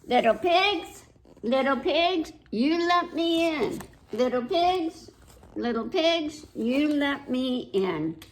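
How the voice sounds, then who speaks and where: A woman voicing a big bad male wolf, a storybook villain who chants drawn-out threats. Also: high voice, expressive, a little hiss or hum, pitched above the performer's own voice. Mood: coaxing.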